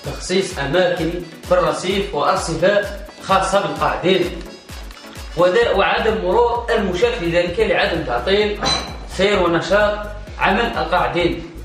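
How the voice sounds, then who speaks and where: A man's voice: sits high, a little fast, fairly bright tone, recorded from a distance. A man playing an earnest idler in a comedy sketch, speaking in mock-formal officialese. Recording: echoey room, off-mic, faint music.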